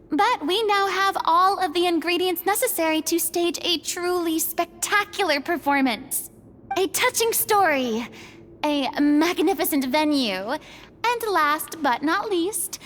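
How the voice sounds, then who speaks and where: An actress voicing a proud, eloquent young retired performer in a video game, with grandiose, formal phrasing. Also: female voice, extremely high pitch, fairly bright tone, a little hiss or hum, exaggerated voice.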